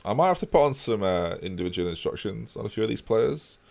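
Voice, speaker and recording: A man's voice: lowish voice, fast, vocal fry. A man casually chatting with his live-stream viewers while playing a football management game. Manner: relaxed, chatty, talks to chat while playing.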